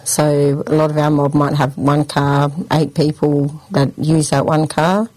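A woman's voice: low-pitched, little pitch movement, unhurried, a little mellow, no room sound, poor audio. A woman from Sydney with an Australian accent, answering as an outreach officer in colloquial, conversational language. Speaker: outreach officer, interview guest.

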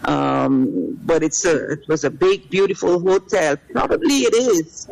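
A woman's voice: low-pitched, expressive, a little slow, gravelly, a little mellow, poor audio. A middle-aged Guyanese woman heading a cultural delegation, answering interview questions in a rambling way full of fillers and restarts.

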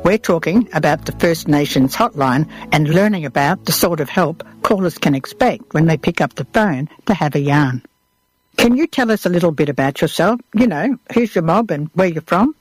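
A woman's voice: deep voice, expressive, moderate pace, darkish tone, breathy edge, dry recording, rough recording. A woman works as a radio interviewer. She delivers prepared introductions and questions, with music playing underneath.

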